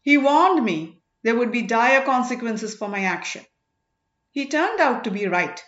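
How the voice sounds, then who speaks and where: A woman voicing a stern male elder who addresses a crowd in emphatic, deliberate phrases for an audiobook. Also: a little high, animated, fairly bright tone, echoey room, muffled recording. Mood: stern, accusing.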